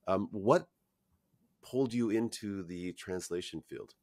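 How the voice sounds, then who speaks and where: An older man with a very low, deep voice hosts a podcast interview from a hotel room. He talks chattily, wandering into asides and filling gaps with "um" and "you know". The sound is dry and close on his headset mic. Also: unhurried, a little mellow, no room sound. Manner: chatty, rambling asides, many fillers. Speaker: podcast host. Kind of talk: conversational interview. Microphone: headset mic on video call.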